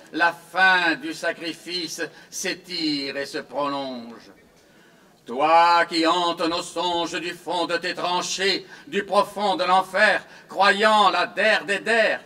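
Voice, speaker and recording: A man reciting his own commemorative poem in a solemn, theatrical, declamatory style with measured phrasing. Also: a little high, bright, forceful tone.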